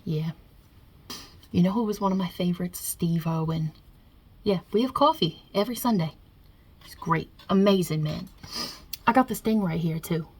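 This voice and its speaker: A woman's voice: on the low side, normal speed, long pauses, a little mellow, breathy edge. A woman playing a non-binary Italian mob boss God with a put-on New York Italian-American accent, wry and casual with muttered asides, in an ASMR roleplay.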